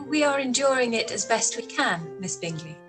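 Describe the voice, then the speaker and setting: A woman playing a poised, quick-witted young gentlewoman in a period radio play, courteous and composed in manner. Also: a little high, expressive, music in the background, close to an everyday voice. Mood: cool, guarded.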